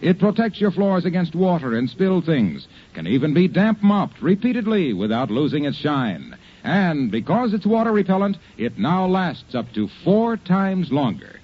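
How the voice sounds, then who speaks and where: A man's voice: on the high side, very animated, normal speed. An American man, a polished, salesmanlike announcer reading advertising on mid-century radio. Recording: muffled vintage radio recording.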